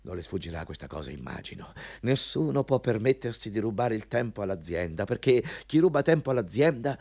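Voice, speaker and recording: An actor playing a bullying, pompous company boss in a radio drama, lecturing and interrogating an underling about the rules. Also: male voice, lowish voice, sing-song, fairly quick, darkish tone.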